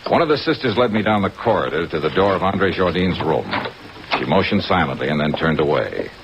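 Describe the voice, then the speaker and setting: Hard-boiled American investigator, lowish lively voice, dry wisecracks, radio detective drama, muffled vintage recording. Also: male voice, a little fast, background noise. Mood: world-weary narration. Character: wry, hard-boiled male insurance investigator. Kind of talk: 1950s radio detective acting and narration.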